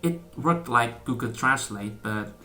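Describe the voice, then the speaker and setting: Bright-voiced young Indonesian man, hesitant. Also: mid-range voice, lively intonation, measured pace, soft background music. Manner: hesitant, many fillers and restarts, laughs often. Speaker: vlogger. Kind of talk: casual talk to camera.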